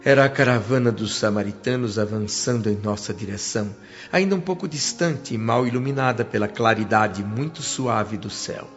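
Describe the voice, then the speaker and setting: A man's voice: fairly low pitch, moderate pace, big-room sound, lightly acted. A Brazilian man acting an earnest, remorseful spirit who tells his afterlife story in a reflective, literary way for a radio drama. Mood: calm narration. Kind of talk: radio drama narration and dialogue. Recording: muffled audio with music behind. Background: background music.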